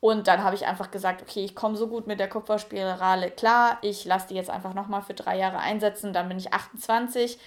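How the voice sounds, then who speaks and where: A woman's voice: medium pitch, fairly even intonation, fairly quick, hardly any pauses. Chatty young woman vlogging frankly. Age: 20s. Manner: chatty, frank, many fillers. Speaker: YouTuber. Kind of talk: casual personal vlog.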